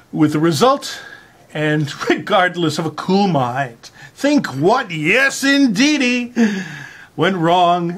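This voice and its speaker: This is a slow-paced presenter with a deadpan, mock-serious delivery. The speech is halting and hesitant, as if each phrase is being weighed while reading aloud to listeners. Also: medium pitch.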